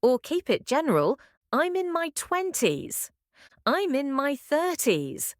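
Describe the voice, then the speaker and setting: Slow, lively woman teaching English. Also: high voice, dry recording, studio quality. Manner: slow, clear, friendly teaching delivery. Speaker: podcast co-host and English teacher. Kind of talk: scripted English lesson dialogue.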